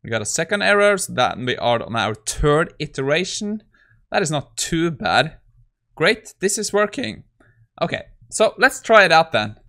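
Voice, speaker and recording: A man's voice: on the high side, very animated, moderate pace, gravelly, sharp tone. Man casually walking through code. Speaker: tech YouTuber. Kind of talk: casual screen-recorded coding walkthrough.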